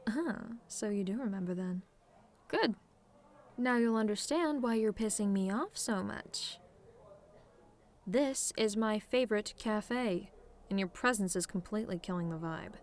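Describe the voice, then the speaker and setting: Woman acting as a tomboy demon girl, sarcastic n casual, teasing roleplay talk, mid pitch. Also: measured pace, a little hiss or hum, close to an everyday voice. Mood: sardonic, irritated. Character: sardonic tomboy female demon, relaxed, teasing, secretly fond of simple pleasures. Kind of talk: scripted roleplay monologue to a listener.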